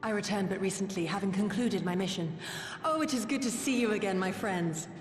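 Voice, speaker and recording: Female actor as loyal, earnest resistance operative for fantasy game; measured old-fashioned diction, medium pitch, reverberant hall. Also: lightly acted. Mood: warm, glad. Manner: formal, measured, courteous. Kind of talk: scripted game voice acting in archaic formal English. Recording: echoey hall reverb under clear music.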